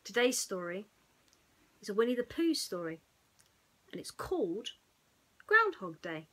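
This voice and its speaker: A woman storyteller chatting to young children and narrating a bedtime story in an expressive way. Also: mid-range voice, unhurried.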